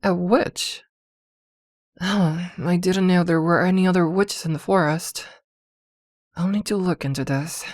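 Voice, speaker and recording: Woman acting a slow, low-voiced possessive witch girlfriend. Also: steady tone, no echo, studio quality. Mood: jealous, possessive. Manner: sharp scolding mixed with tender fussing. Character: possessive, protective witch girlfriend, irritable but caring. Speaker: voice actor in an audio roleplay. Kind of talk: ASMR roleplay acting.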